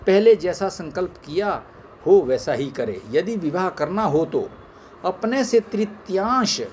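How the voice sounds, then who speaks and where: A man's voice: high voice, lively intonation, unhurried, darkish tone, a little hiss or hum. A man reading scripture aloud in a formal way, phrase by phrase, in an amateur recording. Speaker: reader of scripture.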